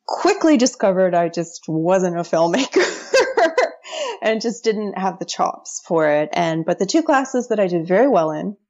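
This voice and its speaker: A woman's voice: medium pitch, sing-song, a little slow, a little mellow, muffled recording. A woman in her thirties from the United States, a guest on a podcast interview, chatty and often laughing.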